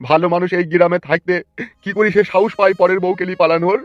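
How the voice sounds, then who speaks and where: Fast-talking, wailing old village husband acted by a man. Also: sits high, steady tone, close to an everyday voice. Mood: indignant pleading. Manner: wailing, complaining, drawn-out pleading. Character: distraught old village husband abandoned by his wife. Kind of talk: comic village cartoon dialogue. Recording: dull lo-fi sound with music behind.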